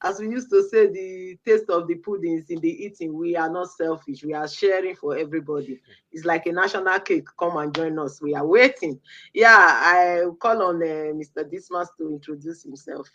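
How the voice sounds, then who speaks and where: A middle-aged woman from Nigeria hosting a live stream, animated, exclaiming and repeating her greetings. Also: fairly low pitch, normal speed, muffled recording. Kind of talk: lively live-stream talk.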